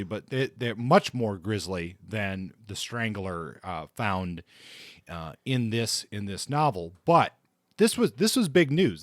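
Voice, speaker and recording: Low-voiced man explaining on a podcast. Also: no room sound, studio quality. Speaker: podcast co-host discussing books. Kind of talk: casual explanatory discussion.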